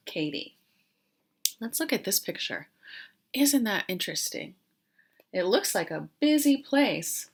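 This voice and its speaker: Slow, lively woman teacher reading aloud. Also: mid-range voice. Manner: questioning, explanatory, with pauses for answers. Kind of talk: interactive read-aloud lesson for young children.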